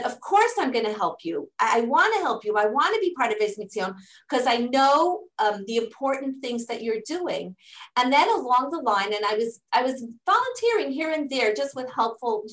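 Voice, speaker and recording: A woman's voice: a little high, a bit bright, roomy sound, rough recording. A middle-aged woman, a native English speaker living in Israel, explaining her charity's work in an interview with earnest, step-by-step answers and frequent restarts. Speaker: charity fundraising director.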